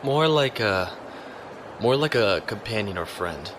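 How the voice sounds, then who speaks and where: A low-voiced, calm space lieutenant. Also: male voice, measured pace, noisy background. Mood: conversational. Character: calm male space navy lieutenant, courteous, dry. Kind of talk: audio drama acting with log narration.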